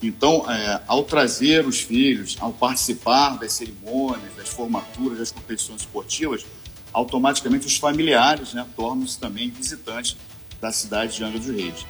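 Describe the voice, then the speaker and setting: A man speaks as a guest on a talk show. He answers in a measured, formal way, often filling pauses with "né", at a mid-range pitch. Faint music plays behind him in the studio. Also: fairly bright tone. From Niterói, Brazil. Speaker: naval college commander, guest. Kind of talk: interview answers.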